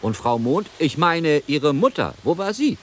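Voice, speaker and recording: An actor playing an inquisitive sea captain in a radio drama, probing and theatrical. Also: male voice, a little high, sing-song, measured pace, a little hiss or hum. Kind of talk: 1990s German radio play acting.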